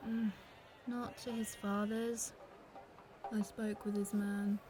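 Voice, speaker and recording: Very slow, plain-spoken young friend, played by a woman. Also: mid-range voice, flat delivery, mellow, natural voice. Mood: wry concern. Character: level-headed Benvolio, a loyal young friend. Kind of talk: Shakespeare audio drama acting. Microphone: phone. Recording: home phone recording, faint noise.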